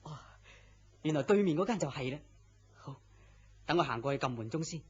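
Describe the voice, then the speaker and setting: A man's voice: a little high, animated, a little fast, husky. A man with a Hong Kong Cantonese accent playing a weary, melancholy, lovesick young man in a radio drama, earnest and plaintive. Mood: quiet, anticipating. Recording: dull lo-fi radio drama audio.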